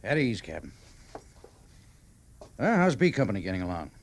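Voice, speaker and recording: An actor playing a wry, authoritative cavalry major in a classic radio drama, speaking in a measured way. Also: male voice, fairly low pitch, big pitch swings, vocal fry, full character voice. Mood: stern, dry. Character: wry, weary male cavalry major, commanding officer. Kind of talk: classic old-time radio drama acting.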